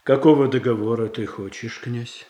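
An actor playing a self-controlled, diplomatic boyar in a classical radio tragedy, formal and restrained. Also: male voice, mid-range voice, fairly even intonation, normal speed, mellow, echoey room, natural voice. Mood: conciliatory. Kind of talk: classical Russian stage tragedy acting for radio.

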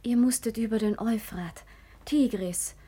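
An actress playing a devout, dreamy young daughter in a classical German radio drama, speaking with declamatory rapture. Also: female voice, a little high, a little slow, warm tone, slightly rough. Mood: rapturous.

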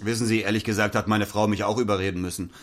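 An actor playing a cynical middle-aged lawyer in a stage drama, cool, dry and dismissive. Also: male voice, lowish voice, steady tone, racing, lightly acted. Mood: sardonic. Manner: dry, sardonic. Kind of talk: stage-play dialogue acting.

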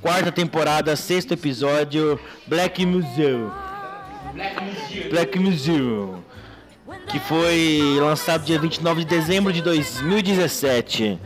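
A man's voice: a little high, takes it slowly, music in the background. A man hosting a podcast in casual chat, recapping loosely with many restarts. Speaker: podcast host.

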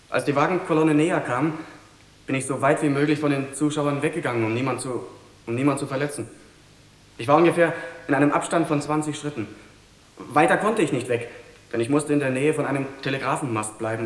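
An actor playing a captured bomb-thrower giving a sober, halting, matter-of-fact confession in a German radio drama. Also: male voice, medium pitch, roomy sound, natural voice.

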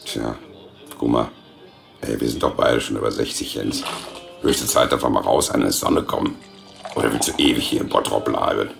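A pub landlord in his sixties with an extremely deep voice full of gravel and creak. He talks in a folksy, laconic, colloquial way, as in a radio play. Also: fairly even intonation, moderate pace, over music, heavily acted. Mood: resigned, casual. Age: 60s. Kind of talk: radio play acting, casual pub talk.

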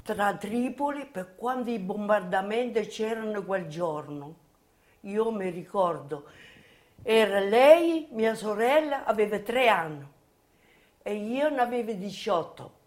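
An elderly woman recounting her memories as personal testimony, halting and often repeating herself as she goes. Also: medium pitch, animated, a little mellow. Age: 80s or older. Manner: halting, repetitive storytelling. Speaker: witness giving video testimony. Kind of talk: personal reminiscence, spoken testimony.

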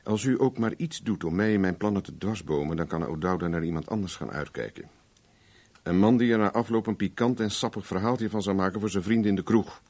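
A man's voice: low voice, expressive, brisk, darkish tone. A man acting a cynical, flirtatious, hard-drinking private investigator in a 1970s Dutch radio play, wry and firm. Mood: firm, warning. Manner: wry, teasing, evasive, firm when pressed.

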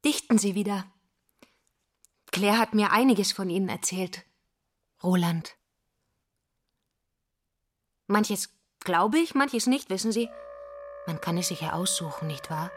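A woman's voice: mid-range voice, a little slow, pauses often, natural voice. An actress playing a pupil's mother in a radio drama, seductive and menacing, brazen and wounded, with a provocative, insinuating delivery. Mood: teasing, insinuating. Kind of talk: stage-like radio drama dialogue.

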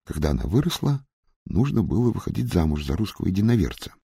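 Man voicing frank young storyteller, husky and lively. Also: low voice, quick pace, no echo, clean studio sound, exaggerated voice. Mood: matter-of-fact, sombre. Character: young Russian-American man, frankly recounting his hard family past. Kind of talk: audiobook character narration.

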